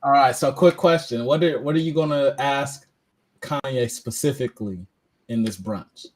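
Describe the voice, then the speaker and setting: A man's voice: medium pitch, normal speed, darkish tone. A man co-hosting a podcast, talking in a loose, rambling way with many fillers. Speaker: podcast co-host. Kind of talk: casual chat.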